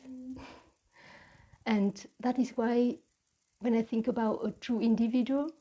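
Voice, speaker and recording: Mellow, even-toned Vietnamese-accented woman. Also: fairly high pitch, low-quality recording. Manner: slow, halting, deliberate phrasing. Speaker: meditation teacher. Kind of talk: reflective mindfulness talk.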